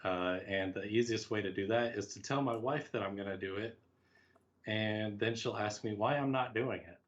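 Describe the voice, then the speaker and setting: Dull, lo-fi call audio carries a man with a fairly low voice. He talks as a podcast guest in a casual, reflective way, putting himself down a little and voicing imagined remarks as he explains. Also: moderate pace. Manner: reflective, self-deprecating, talks in quoted asides. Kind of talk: casual conversational interview.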